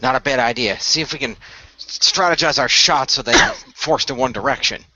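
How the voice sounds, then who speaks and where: A man's voice: mid-range voice, normal speed, bright, forceful tone, dry recording, lo-fi sound. A man improvising narration and in-character lines for a hobby radio play.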